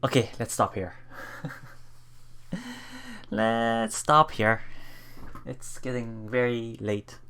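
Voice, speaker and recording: Slow-paced streamer with a medium-low voice. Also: sing-song, pushed and bright. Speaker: let's play streamer. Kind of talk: reading game narration aloud with casual commentary.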